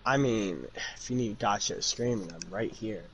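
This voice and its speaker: A man's voice: lowish voice, normal speed, over a noisy crowd. A young adult man chatting casually and jokingly on a livestream, poking fun at himself and others. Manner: joking, self-mocking banter. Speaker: fan fiction reader and narrator. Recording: noisy amateur livestream microphone.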